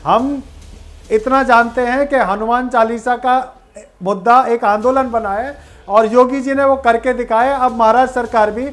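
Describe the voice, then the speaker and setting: A man's voice: very high-pitched, steady tone, a little slow, fairly bright tone. A man arguing as a party spokesperson in a political debate, firm and emphatic as he explains.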